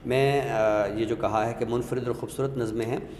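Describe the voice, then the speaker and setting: A Pakistani man co-hosting a literary talk, speaking in a scholarly, explanatory way with deliberate phrasing. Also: medium pitch, fairly even intonation, darkish tone. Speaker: co-host discussing Urdu literature. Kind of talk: literary talk with poetry recitation. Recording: echoey hall, audible background noise.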